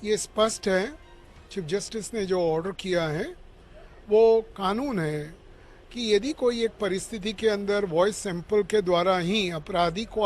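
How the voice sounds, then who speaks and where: Man, Indian accent, high voice, halting delivery, explanatory legal comment, English terms mixed in.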